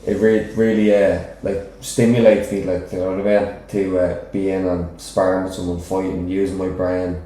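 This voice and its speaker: A young Dublin man talks in a loose, rambling way and keeps checking in with the listener. His voice sits fairly low and sounds dark and mellow. It is recorded in a very echoey room. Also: level tone, normal speed, hardly any pauses, slight background noise. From Ireland. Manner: rambling, frequent "do you know what I mean". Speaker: professional boxer, guest. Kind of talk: casual interview chat.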